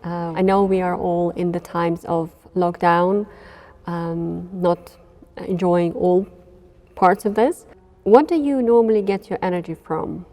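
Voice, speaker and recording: A woman's voice: fairly low pitch, a little slow, warm tone, long reverb tail. A woman interviewer asking friendly, conversational questions in a car museum.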